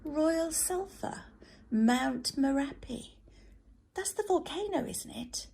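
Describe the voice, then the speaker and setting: A woman's voice: high voice, expressive, slow pace, creaky, darkish tone, pitched above the performer's own voice, heavily acted. A woman voicing a cheeky, scheming young boy in a storybook, cocky and eager in manner. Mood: sly, boastful. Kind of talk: storybook read aloud.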